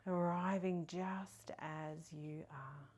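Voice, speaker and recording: A woman leading a guided meditation in a soft, breathy, partly whispery voice, soothing and gentle with long pauses. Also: sits low, steady tone. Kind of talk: guided body scan meditation.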